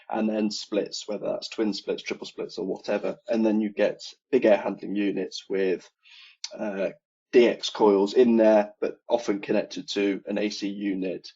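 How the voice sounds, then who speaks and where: A man in his thirties with an English Midlands accent, explaining his work as an air conditioning engineer in a relaxed interview over a video call. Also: lowish voice, breathy edge. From England. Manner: relaxed, explains in detail with many ums. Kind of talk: casual interview conversation. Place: home room, video call. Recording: dull lo-fi video call audio.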